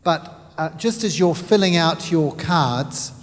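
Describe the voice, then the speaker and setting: A man's voice: a little high, expressive, slow. A man moderating a panel discussion, polite and a bit hesitant. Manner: polite, hesitant, uses fillers. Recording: reverberant hall.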